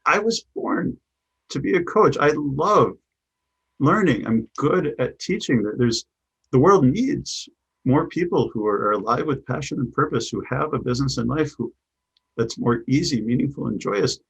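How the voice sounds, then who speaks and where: Man, Chicago accent, middle-aged, husky, fry in the voice, halting, thoughtful answers. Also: medium pitch, animated, fairly bright tone, dull audio. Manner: thoughtful, many restarts and repeated words. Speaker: guest, business coach. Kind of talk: conversational interview answers.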